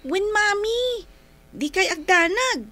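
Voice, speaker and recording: Radio drama: obedient little Ilocano kid, squeaky high, lively and bright, faint music underneath. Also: female voice, takes it slowly, dry recording, exaggerated voice. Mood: eager, obedient. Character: sweet obedient little child.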